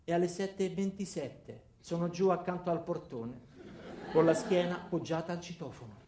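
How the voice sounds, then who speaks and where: A male actor plays an ordinary man who has turned mean. He tells his story tersely and matter-of-factly, as a comic radio monologue. The sound is roomy, with clear echo. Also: a little high, steady tone, normal speed, noisy background, natural voice. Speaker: narrator and lead actor.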